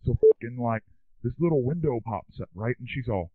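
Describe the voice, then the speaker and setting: A man's voice: medium pitch, animated, moderate pace, slightly rough, dry recording. Guy doing a young slacker bro rambling in slang, swoopy delivery, thin phone-like sound. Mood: baffled, excited. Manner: slangy, rambling surfer-dude talk. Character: dim, excitable young slacker dude telling stories. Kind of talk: comic monologue, casual storytelling.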